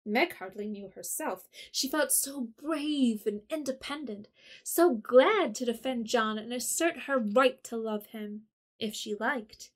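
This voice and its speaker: Woman, storyteller narration, higher pitch, audio drama. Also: measured pace. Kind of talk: scripted narration.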